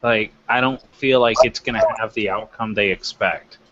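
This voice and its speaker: A man's voice: fairly low pitch, steady tone, normal speed, lo-fi sound, background noise. A man co-hosting a wrestling review show, giving his commentary in loose, casual chat.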